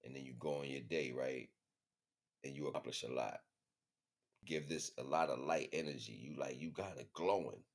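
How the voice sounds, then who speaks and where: Deep-voiced American man rambling casually. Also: moderate pace. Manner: slangy, repetitive with frequent check-in phrases. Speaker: livestream host. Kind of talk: casual rambling monologue to viewers.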